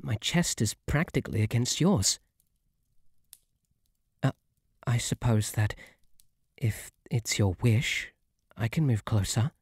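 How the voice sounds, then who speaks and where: A man acts out a shy male bunny butler with a British accent, soft and breathy. He talks slowly and formally, deferring and stammering in a flustered way. It is an intimate ASMR roleplay, recorded close and dry. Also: medium pitch, expressive, no echo. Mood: devoted, then hesitant. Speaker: roleplay audio performer. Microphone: close, dry microphone.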